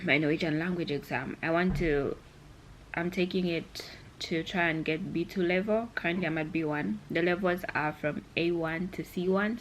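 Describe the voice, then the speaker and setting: A woman's voice: low-pitched, slight background noise, poor audio. A young woman from Zambia talking casually to camera like a vlogger, in a chatty, rambling way that often doubles back on itself. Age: young adult. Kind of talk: casual vlog chat to camera.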